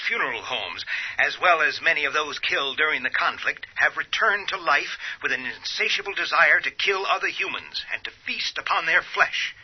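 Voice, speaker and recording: A man acting a solemn radio newsreader in a horror drama. His husky voice is a little high, and he delivers a formal emergency bulletin. The sound is dull and lo-fi. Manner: formal, solemn, deliberate announcements.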